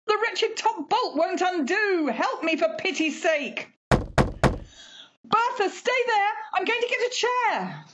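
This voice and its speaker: A slightly muffled radio-play recording of an actress as a distraught older mother. Her voice is extremely high and drawn out. She pleads with fervent, emotional hope for her daughter. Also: very animated, slow pace, fairly bright tone, slight background noise, heavily acted. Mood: frantic, insistent. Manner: pleading, tearful, highly emotional. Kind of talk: radio play horror acting. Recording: slightly dull home recording.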